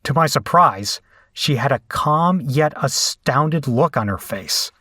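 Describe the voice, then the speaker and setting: A man acting a slow-spoken, haunted horror captive. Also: medium pitch, lively intonation, sharp tone, breathy edge, dry recording, studio quality, full character voice. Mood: grim, haunted recounting. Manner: deliberate, dramatic storytelling with hesitant, pained dialogue. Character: frightened, battered man held captive, recounting his ordeal. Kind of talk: first-person horror audio drama narration and acted dialogue.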